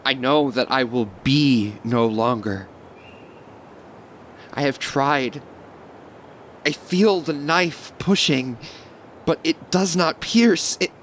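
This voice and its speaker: Man playing a slow, despairing devout sufferer. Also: on the high side, a bit bright, a little hiss or hum. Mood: anguished. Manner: solemn, formal, halting. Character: suffering, devout, despairing man praying through long illness. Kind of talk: fan radio play monologue.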